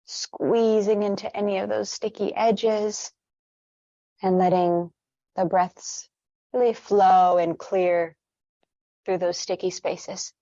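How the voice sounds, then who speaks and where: Female meditation guide. Soothing, unhurried delivery, medium pitch, close dry sound, a touch muffled. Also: little pitch movement, no echo. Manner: gentle, unhurried, soothing instruction. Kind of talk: guided meditation with gentle stretches.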